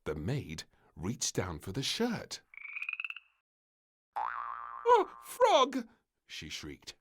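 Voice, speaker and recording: A man narrating a children's story from a script, with expressive, deliberate phrasing. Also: deep voice, noisy background.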